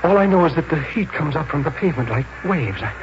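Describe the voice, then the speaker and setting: Man acting a halting, anguished artist, old noisy radio. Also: fairly high pitch, lively intonation, close to an everyday voice. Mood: dazed, distressed. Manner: halting, repetitive, anguished delivery. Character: troubled, confused artist driven by compulsion. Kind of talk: 1940s radio drama acting. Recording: noisy muffled vintage 1940s radio recording.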